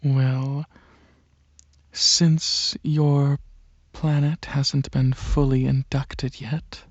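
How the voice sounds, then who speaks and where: A man playing a gentle dragon alien prince in a soft-spoken ASMR roleplay, talking to the listener in a hesitant, soothing way with many pauses. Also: mid-range voice, level tone, no room sound, muffled recording. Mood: soft, coaxing. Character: gentle dragon alien prince, centuries-old royal researcher, softly coaxing.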